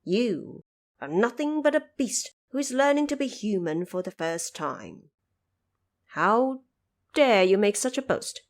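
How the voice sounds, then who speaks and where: A woman voicing a lofty male Buddha, solemn, measured and stern, in an audio drama. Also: mid-range voice, sing-song, voice pushed up. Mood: scornful, admonishing. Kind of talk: audio drama character acting.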